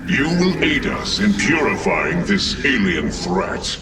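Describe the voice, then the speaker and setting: A man's voice: medium pitch, sing-song, slow, distant mic, very noisy. A man playing a stern, imperious robotic warrior executor in a video game, cold, clipped and commanding. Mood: cold, distrustful. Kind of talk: dramatic video game cutscene acting.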